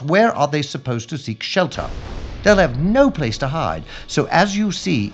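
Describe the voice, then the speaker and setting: A man's voice: mid-range voice, close to an everyday voice. A man playing an earnest, dutiful police inspector in a wartime drama, speaking formally and with emphasis. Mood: urgent, heated. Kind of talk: period audio drama acting. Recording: dull, slightly noisy recording.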